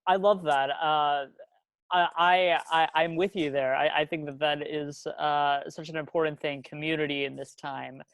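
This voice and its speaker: A man interviewing a playwright, speaking haltingly with many fillers. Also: a little high, steady tone, vocal fry, a bit bright, clear, resonant tone, no echo. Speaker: student interviewer. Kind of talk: interview hosting.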